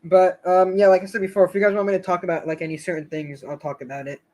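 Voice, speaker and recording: A man with a somewhat high voice hosts a casual live stream. He rambles and hesitates, restarting his sentences and filling the gaps with fillers. His voice is getting a little hoarse. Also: level tone, quick pace, a little mellow. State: slightly hoarse, losing his voice.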